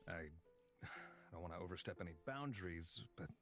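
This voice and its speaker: A man plays a guarded yet considerate companion in a visual novel. His voice is low with animated swings in pitch, and his delivery is measured and careful. Music sits behind it. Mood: concerned, careful. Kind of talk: dramatic visual novel voice acting. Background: background music.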